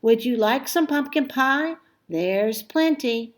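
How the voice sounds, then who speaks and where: A woman's voice: high voice, expressive, slow pace. A woman voicing a weary but determined countrywoman given to grumbling and exclaiming in a storybook. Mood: excited, welcoming.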